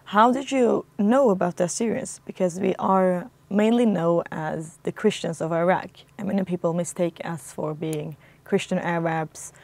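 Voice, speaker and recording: A woman hosts an interview show in a lowish voice. She asks her questions attentively and keeps the guest going with frequent hums of agreement. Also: normal speed, vocal fry, hardly any pauses, a little mellow, dry recording. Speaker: talk show host. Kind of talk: interview hosting.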